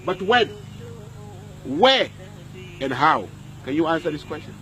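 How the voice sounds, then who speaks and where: A man's voice: a little high, big pitch swings, a little slow, bright, forceful tone. A man preaching a sermon at a graveyard, repeating lines and putting questions to his listeners, with Arabic verses in between. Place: outdoor graveyard.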